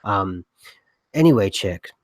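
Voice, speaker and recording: A man's voice: low voice, animated, slow pace, dry recording, studio quality. A man co-hosting a casual podcast, rambling with many restarts, who has a cough. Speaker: podcast co-host. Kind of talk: casual conspiracy podcast chat.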